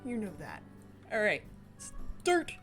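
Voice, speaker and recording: Slow-paced, expressive woman game streamer. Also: medium pitch, soft background music. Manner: giggly, enthusiastic, expressive. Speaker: gaming channel host streaming a visual novel. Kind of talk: reading game text aloud dramatically with excited asides.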